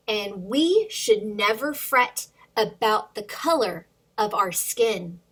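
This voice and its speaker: A woman's voice: high voice, fairly bright tone, husky. A woman hosting storytime for young children, reading aloud expressively with sing-song emphasis and addressing questions to young listeners.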